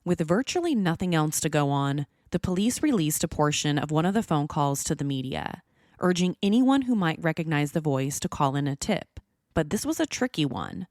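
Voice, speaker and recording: Low-pitched woman narrating true crime. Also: big pitch swings, moderate pace, darkish tone, no room sound, studio quality. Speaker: podcast host.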